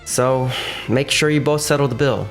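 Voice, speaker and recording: A man's voice: medium pitch, moderate pace, faint music behind, lightly acted, low-quality recording. A man playing an earnest young businessman in a romcom manga dub, with explanatory scripted delivery. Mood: firm.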